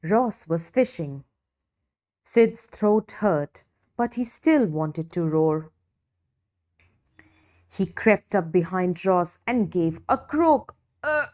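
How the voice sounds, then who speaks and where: A woman reading a children's story expressively, with deliberate dramatic emphasis for young listeners. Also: on the low side, expressive, a little mellow. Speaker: storybook reader.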